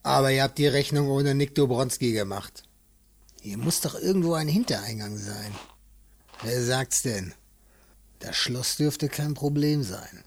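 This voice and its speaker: An actor playing a cocky, hot-tempered special agent who wisecracks and threatens in an amateur pulp audio drama. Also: male voice, mid-range voice, unhurried, vocal fry. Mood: muttering, sly.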